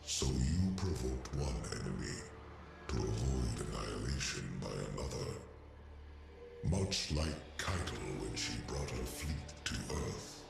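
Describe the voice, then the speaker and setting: Casting: a colossal, theatrical alien emperor in a game, bottomless gravelly voice, grandiose and falsely welcoming, music underneath. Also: male voice, extremely low pitch, sing-song, slow, heavily acted. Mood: taunting. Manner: theatrical, pompous, savoring words. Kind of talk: video game voice acting. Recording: music behind the voice.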